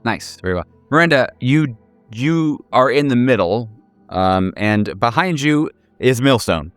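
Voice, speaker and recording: A man speaking as game master, improvising horror narration and casual chat in a halting way, often rephrasing himself. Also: mid-range voice, animated, a bit bright, clear, resonant tone, no echo, soft background music.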